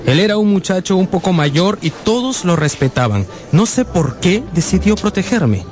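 A sensitive young man tells his own story in a radio drama. His voice is slightly high and very lively in intonation, with music clearly behind it. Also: normal speed. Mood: reflective. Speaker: narrator. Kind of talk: dramatised first-person narration for radio.

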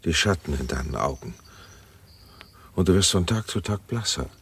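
A middle-aged gentleman in a German radio play, a tender and anxious father. His voice is extremely deep and very raspy. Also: animated, normal speed, exaggerated voice. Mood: worried, tender. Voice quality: very deep, gravelly and husky. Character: worried, tender older gentleman, a young woman's father.

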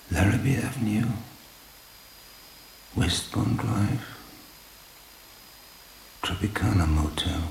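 An actor playing an obsessive, brooding police detective in a German radio drama, speaking in a clipped mutter that drifts toward a whisper. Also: male voice, low voice, gravelly, full character voice. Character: obsessive, brooding male police detective hunting a serial killer. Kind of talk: German radio drama acting, interior monologue. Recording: roomy, faint hiss.

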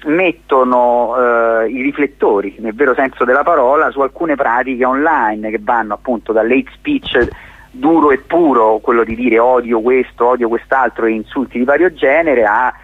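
A man's voice: mid-range voice, normal speed, slightly rough, dry recording. A man, a theatre director speaking as a guest in a conversational radio interview, explaining articulately while searching for words. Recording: thin phone-like radio line.

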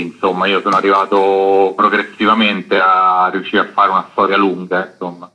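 A man's voice: fairly low pitch, pushed and bright. A middle-aged man, explaining things reflectively as a guest in an informal radio interview. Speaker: interview guest, illustrator and comics author. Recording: dull lo-fi radio sound.

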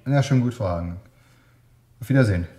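An actor playing a brusque, sceptical young husband who talks colloquially and dismissively in a radio drama. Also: male voice, on the low side, a little fast, mellow, breathy edge. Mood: impatient. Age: young adult. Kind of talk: German radio drama acting.

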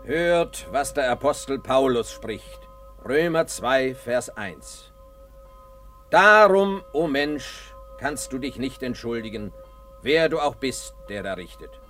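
An actor playing a stern preacher reciting scripture in a declamatory, severe way for a radio play. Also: male voice, mid-range voice, animated, slow, fairly bright tone, soft background music. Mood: solemn, admonishing.

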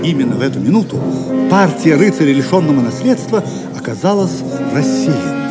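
A male narrator with a fairly high voice tells a scripted story in a theatrical, declamatory way. Music plays clearly behind him. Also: big pitch swings, brisk.

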